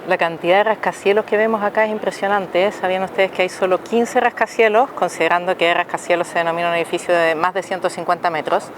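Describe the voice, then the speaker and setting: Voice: medium pitch, fairly even intonation, fast, few pauses, noisy background. Chatty travel host outdoors. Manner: chatty, explains landmarks and facts, asks viewers questions. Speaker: travel vlogger and guide. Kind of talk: casual travel narration to camera. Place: outdoors on a city tower deck and streets.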